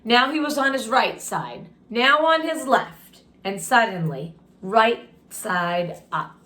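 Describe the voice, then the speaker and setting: A woman reads a storybook aloud for children at a slow, deliberate pace. She tells it expressively and breaks off to add her own comments and questions. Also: on the high side, lively intonation, pushed and bright. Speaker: storytime reader and teacher.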